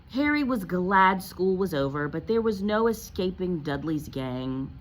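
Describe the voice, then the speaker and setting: Expressive woman teacher reading aloud, lively pitch swings. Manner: expressive, asks questions and explains as to young pupils. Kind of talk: read-aloud of a children's novel with teaching commentary.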